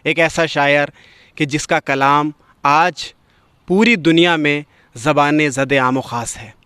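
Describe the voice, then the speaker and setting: A man presents a literary programme in a formal and courteous manner. His voice is on the high side and resonant, recorded dry and close. He phrases things rhetorically, as a host addressing his audience. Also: takes it slowly, bright, forceful tone, clear, resonant tone, no room sound.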